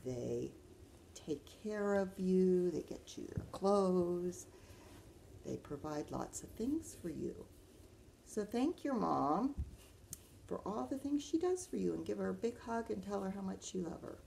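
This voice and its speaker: A woman's voice: medium pitch, big pitch swings, a little slow, creaky, warm tone, slight background noise. A woman in her fifties, a librarian reading a picture book aloud and talking simply and directly to young children. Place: living room at home, indoors.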